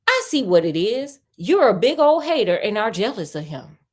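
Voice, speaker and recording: A woman's voice: medium pitch, sing-song, moderate pace. A woman storyteller voicing a loyal, firm storybook king with emphatic, formal delivery. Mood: firm, indignant.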